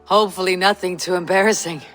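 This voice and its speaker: An actress playing a proud, commanding Viking clan leader in a video game, with a measured, declamatory manner. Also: female voice, fairly low pitch, fairly even intonation, a bit bright, close to an everyday voice. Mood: dry, composed. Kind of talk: video game cutscene acting. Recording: reverberant hall with music behind.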